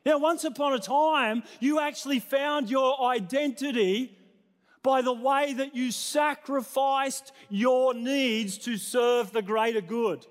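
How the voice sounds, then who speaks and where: A man's voice: very high-pitched, slow. An Australian man, a middle-aged pastor preaching in a conversational, self-deprecating manner, building points through repeated phrases. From New South Wales, Australia. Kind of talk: sermon to a congregation. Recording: long reverberant hall sound.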